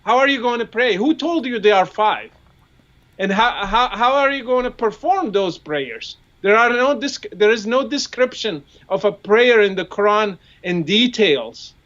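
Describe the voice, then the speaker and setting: A man's voice: high-pitched, animated, measured pace, a bit bright, dull audio. A man with an Arabic accent, a native Arabic speaker, explaining his points in a discussion and asking rhetorical questions, often repeating words as he builds up. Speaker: guest commentator. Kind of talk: discussion on a live show.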